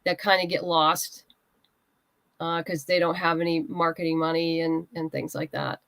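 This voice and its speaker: A woman's voice: sits low, flat delivery, moderate pace, a bit bright, no room sound. A woman chatting casually as an interview guest, giving practical advice in a conversational way. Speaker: guest writer and comics creator.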